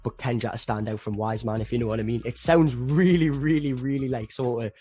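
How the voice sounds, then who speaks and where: A man's voice: mid-range voice, fairly even intonation, brisk, darkish tone, slightly rough. Earnest English man, reflective. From England. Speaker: rapper guest. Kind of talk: casual interview chat.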